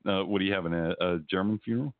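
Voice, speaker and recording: Brief: deep-voiced wisecracking man, animated pitch, phone-like recording. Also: racing. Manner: wisecracking from the side. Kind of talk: casual banter.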